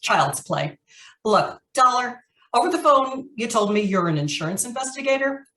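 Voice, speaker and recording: A woman's voice: on the high side, sing-song, normal speed, bright, forceful tone. Woman acting a menacing ex-con, echoey and distant. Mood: menacing, bitter. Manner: tough, sardonic, threatening. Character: menacing, vengeful female ex-convict out to settle scores. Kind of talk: old-time radio noir acting. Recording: roomy echo, distant from the microphone.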